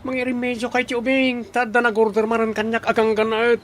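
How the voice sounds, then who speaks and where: Shady kidnapper's accomplice, extremely high pitch, Ilocano accent, radio drama, light noise behind. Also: male voice, monotone, fast talker, a bit bright. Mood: conspiring. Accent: Filipino, Ilocano. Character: shady high-voiced accomplice arranging a child abduction.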